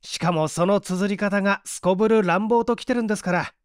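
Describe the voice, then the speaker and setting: A man's voice: high-pitched, brisk, no room sound, clean studio sound, natural voice. A man reading the part of an assured detective scientist in an audiobook, patiently explaining his deductions in an articulate, didactic way.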